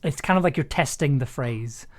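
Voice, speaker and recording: A man's voice: medium pitch, expressive, moderate pace. A man directing an actor in casual, hesitant chat, searching for words and repeating phrases as he explains. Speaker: host and audio drama director.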